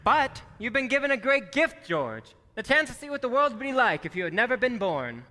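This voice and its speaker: Man acting elderly guardian angel, high lilting voice, gentle, naive, quaint, radio play, hall reverb. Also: sing-song, fairly quick, full character voice. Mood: patient, earnest. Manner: quaint, patiently explaining. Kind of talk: stage radio-play acting in 1940s manner. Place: theatre hall, live performance. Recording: live stage taping in reverberant hall.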